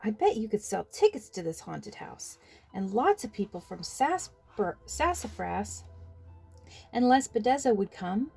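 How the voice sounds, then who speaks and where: A woman's voice: mid-range voice, very animated, a little slow, creaky, dark tone, breathy edge, soft background music, exaggerated voice. Woman doing a kind little girl, comforting, sensible, storybook voice.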